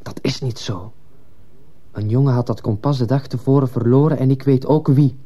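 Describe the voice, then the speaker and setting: An earnest schoolboy in a radio drama, played by a man, confiding in his teacher. The voice is middling in pitch and very dark and muted in tone. He sounds respectful and fearful, and a little hesitant. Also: moderate pace, lightly acted. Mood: uneasy, confiding.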